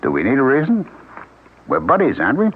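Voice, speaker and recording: An actor playing Max, a paranoid, suspicious husband, bitter and sarcastic, in a 1970s radio drama. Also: male voice, medium pitch, huge pitch swings, a little fast, breathy edge. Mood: cold. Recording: tinny old radio broadcast with music.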